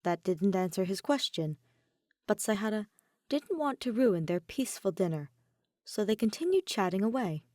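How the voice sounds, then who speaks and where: A woman narrates a story from a script at a medium pitch. The recording is dry, with little room sound around her voice. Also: big pitch swings, moderate pace, warm tone, no room sound. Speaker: podfic narrator.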